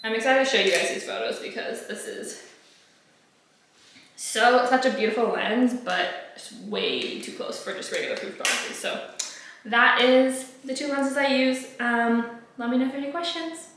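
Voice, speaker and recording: Chatty young woman, bright echoey vlog. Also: on the high side, animated, moderate pace, slight background noise. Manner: chatty and candid, with frequent ums and likes. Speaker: food photographer and content creator. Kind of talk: casual vlog talk to camera. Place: home room, indoors.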